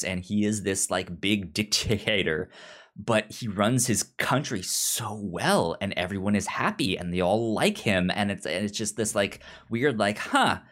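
Halting, stammering man chatting casually. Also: on the low side, fairly bright tone. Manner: halting, frequent stammered repetition of sounds and words. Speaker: podcast co-host. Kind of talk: casual book discussion chat.

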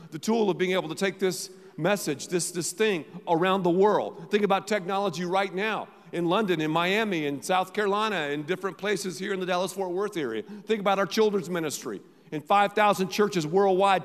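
A middle-aged man preaching in a large church, his voice pitched high for a man. He hammers phrases again and again, jumping from loud bursts to hushed asides. A long hall reverb trails each line. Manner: emphatic, repeats phrases, sudden swings from loud to quiet. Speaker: pastor. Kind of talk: sermon.

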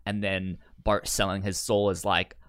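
A man chatting casually as a podcast co-host, stuttering on sounds and words and telling things in an animated way. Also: low voice, a little slow, clean tone, no room sound. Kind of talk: casual chat about sitcoms.

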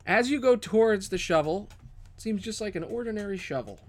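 High-voiced man narrating as game master. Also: expressive, fairly quick. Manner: dramatic, descriptive storytelling. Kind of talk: improvised tabletop game narration.

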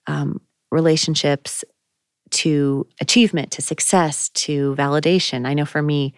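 Low-pitched woman co-host, reflective and searching, dry studio sound. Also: steady tone, unhurried, smooth, no echo. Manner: thoughtful, searching, with frequent ums. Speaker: podcast co-host. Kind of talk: reflective faith conversation.

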